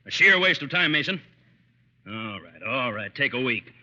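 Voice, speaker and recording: Sharp, barking old-radio boss. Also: male voice, medium pitch, very animated, moderate pace. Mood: stern, impatient. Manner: brusque, barking, dismissive. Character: gruff, impatient male boss, a stern older judge. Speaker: actor in a radio drama. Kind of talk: old-time radio acting. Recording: muffled vintage radio broadcast recording.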